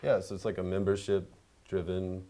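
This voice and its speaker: Low, monotone man, laid-back and hesitant. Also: normal speed. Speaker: guest, visual artist. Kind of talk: casual interview chat.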